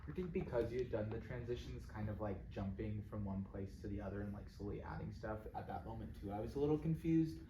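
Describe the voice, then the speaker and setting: A man's voice: lowish voice, fast talker, few pauses. A man in an audience offering casual spoken feedback. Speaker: audience member. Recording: echoey room, faint background noise.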